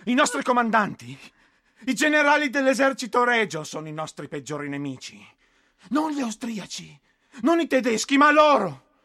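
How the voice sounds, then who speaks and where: An actor playing a frightened, exhausted and bitter infantry soldier in a theatrical, emotional radio drama style. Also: male voice, very high-pitched, very animated, moderate pace, bright, forceful tone, full character voice. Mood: bitter, indignant.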